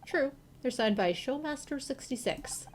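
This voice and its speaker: A woman's voice: high-pitched, lively intonation, a little slow, a little hiss or hum, pitched above the performer's own voice. A woman voicing an earnest amateur sleuth in a visual novel, probing and persistent with questions. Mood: skeptical.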